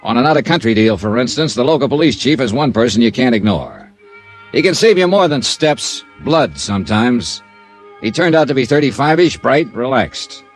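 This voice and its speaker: A man's voice: mid-range voice, natural voice. An American man playing a wry, confident insurance investigator in a 1950s radio detective drama. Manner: wry, breezy, clipped. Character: wry easygoing freelance insurance investigator, confident and quick-witted.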